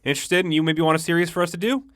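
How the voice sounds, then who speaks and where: A man's voice: a little high, racing, a bit bright, dry recording. A man co-hosting a podcast, chatting casually and making dry, sarcastic jokes. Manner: joking, dry sarcastic asides. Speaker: podcast co-host. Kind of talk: casual chat.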